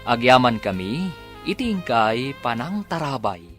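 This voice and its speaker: An Ilocano man gives a slow, formal radio introduction. His pitch rises and falls widely, and faint music plays under him. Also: sing-song. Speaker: radio drama announcer.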